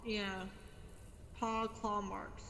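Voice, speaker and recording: A woman improvising in-character role-play, speaking haltingly and pausing mid-sentence. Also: mid-range voice, flat delivery, sharp tone. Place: voice chat in virtual reality game. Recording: echoey, long reverb, faint background noise.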